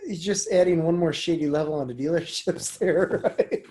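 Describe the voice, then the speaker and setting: A man's voice: on the high side, normal speed, dark tone. A man hosting a casual interview show, chatty and jokey in manner. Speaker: podcast and community radio host. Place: remote call.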